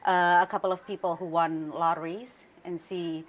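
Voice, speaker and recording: A woman's voice: on the low side, level tone, moderate pace. A woman in her forties from Central Java, whose first language is Javanese, speaking as an interview guest in a thoughtful way with fillers and restarts. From Central Java, Indonesia. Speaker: interview guest, news anchor. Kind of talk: conversational interview.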